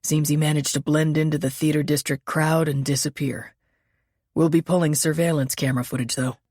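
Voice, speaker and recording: A woman voicing a calm, official older male police lieutenant. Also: on the high side, brisk, dry recording, clean studio sound. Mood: businesslike.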